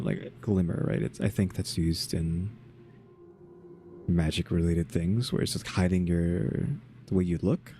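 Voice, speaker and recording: A man's voice: low-pitched, dark tone, a little hiss or hum. A man giving casual, laid-back commentary as he plays a video game, musing aloud. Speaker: gaming video host.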